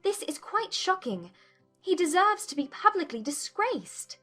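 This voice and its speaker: An actress playing a spirited, indignant young gentlewoman in a dramatized audiobook, with emphatic, formal period speech. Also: extremely high pitch, big pitch swings, unhurried, faint music behind, heavily acted. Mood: shocked, indignant. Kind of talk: dramatized audiobook character acting.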